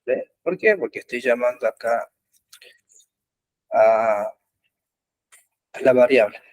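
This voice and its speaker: Slow, halting male coding teacher. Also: mid-range voice, animated, dry recording, rough recording. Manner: slow, halting explanation with many pauses and fillers. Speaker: programming instructor. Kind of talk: live online coding class. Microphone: computer mic in an online class.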